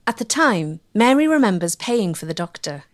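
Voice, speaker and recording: Woman doing documentary narration, steady, clear, mid voice. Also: medium pitch. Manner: measured storytelling.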